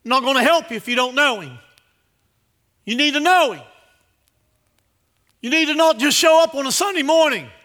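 A male preacher with a high, forceful voice delivers a sermon to his congregation. He leans on repeated phrases for emphasis. His delivery moves between quieter asides and loud, urgent declarations. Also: expressive, normal speed, long pauses.

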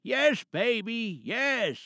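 A man voicing an old grandfather in an audio drama monologue, speaking haltingly and deliberately, with a cool temper. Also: very high voice, very animated, pushed and bright, no echo, clean studio sound, pitched above the performer's own voice, full character voice. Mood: cool, steadying. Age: older. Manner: slow, halting, deliberate delivery. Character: slow-talking old grandfather, loyal but emotionally cool.

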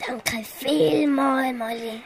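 A woman's voice: high-pitched, very slow, very noisy. A schoolgirl with a Swiss German accent playing a tired, polite male lion in a children's radio play. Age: child or young teen pupil. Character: male lion Leo, tired, polite, losing his mane.

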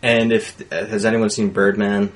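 A man's voice: lowish voice, level tone, a little slow. A man in a casual group debate as a panelist on a movie show, questioning and hedging as he presses his point.

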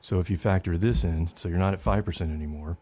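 A male finance co-host with a very low, dark voice who moves fast through his numbers. He is methodical, laying out each scenario in order. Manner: methodical, explains numbers step by step. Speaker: radio show co-host, financial planner. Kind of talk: walking through figures on radio.

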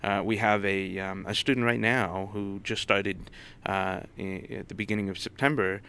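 A man speaking as an interview guest in a casual, hesitant way, often pausing mid-sentence. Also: on the low side, steady tone, bright, forceful tone. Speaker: interview guest, head of a digital arts college. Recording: faint background noise.

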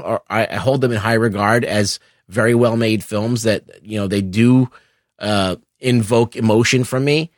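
Middle-aged male podcast co-host, mid-pitched, halting and informal, opinionated film chat, close dry recording. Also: no echo. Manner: halting, circling phrases, casual swearing. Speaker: podcast co-host discussing films.

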